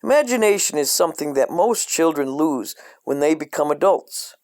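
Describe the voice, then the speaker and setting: A man reading a comedic parody children's book aloud in a deadpan, scripted storyteller style. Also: a little high, normal speed.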